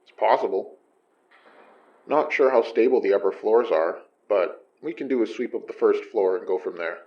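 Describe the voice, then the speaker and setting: An actor in his thirties playing a gruff, weary detective who speaks in dry, terse lines. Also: lowish voice, moderate pace, highs cut off, natural voice. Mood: matter-of-fact. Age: 30s. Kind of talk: audio drama acting.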